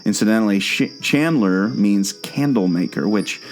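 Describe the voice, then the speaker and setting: A man's voice: fairly low pitch, moderate pace, faint music behind, lightly acted. A man playing a knowing, patient guide who tells park legends in a scripted audio drama. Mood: explanatory, dry. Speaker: storytelling guide.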